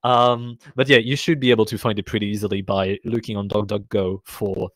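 A man hosting a live online conference Q&A, chatty and rambling, his voice getting raspy. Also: on the low side, steady tone, brisk, dry recording. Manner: chatty, rambling, self-correcting. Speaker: conference host. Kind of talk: casual live Q&A moderation.

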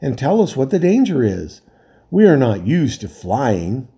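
A man voicing a small, proud and valiant male talking mouse with a shrill, piping voice, in a storybook reading. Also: very animated, a little slow, dark tone, slightly rough, full character voice. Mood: stern.

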